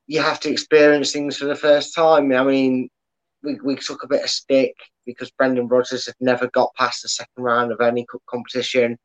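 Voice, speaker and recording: Halting co-host on a dull line. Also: male voice, medium pitch, monotone. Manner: halting, repeats words. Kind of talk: casual football chat.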